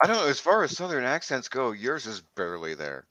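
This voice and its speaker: A man's voice: medium pitch, wildly swooping pitch, brisk, pushed and bright, no echo. A man chatting casually in a coarse, wry manner. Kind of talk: casual chat.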